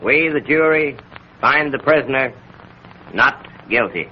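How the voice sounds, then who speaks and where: Casting: actor as deliberate, formal jury foreman, mid-range voice, dull vintage radio recording. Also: mid-range voice, expressive, natural voice. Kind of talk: 1930s radio acting. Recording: muffled 1930s radio recording, slight noise.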